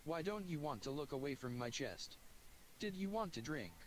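A man's voice: a little high, animated, moderate pace, slight background noise, natural voice, poor audio. A man voicing a friendly, scatterbrained young woman doctor while reading a visual novel aloud. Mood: chatty.

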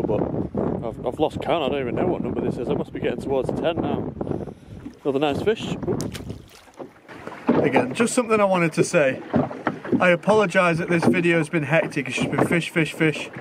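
Loud wind and water noise surrounds a young man. His voice sits a little high for a man. He talks casually, like an angler vlogging from a small open boat. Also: lively intonation, a little fast. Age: 20s. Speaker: angler, video host. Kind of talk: casual outdoor fishing vlog. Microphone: camera mic at a short distance.

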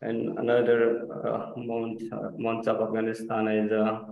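A man, not a native English speaker, talks through his slides slowly and haltingly, filling gaps with "uh" and restarting words. His voice stays flat and unhurried. The video call audio is muffled and echoey. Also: on the low side, creaky, slight background noise. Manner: hesitant, many fillers and restarts. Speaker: student presenter.

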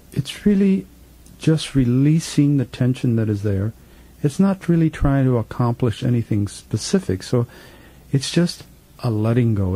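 A male meditation teacher speaks slowly in a deeply mellow, relaxed voice. His pitch moves freely, with phrases trailing off at the end. He gives soothing, repetitive instructions as a guide through relaxation. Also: no echo. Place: professional studio.

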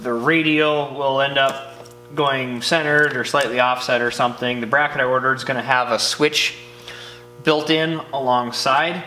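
A man's voice: medium pitch, fairly even intonation, moderate pace, bright, forceful tone, soft background music. A man narrating a hands-on project casually and informally, explaining it step by step as he goes. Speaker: car builder and vlog host. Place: indoor garage workshop. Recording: echoey room.

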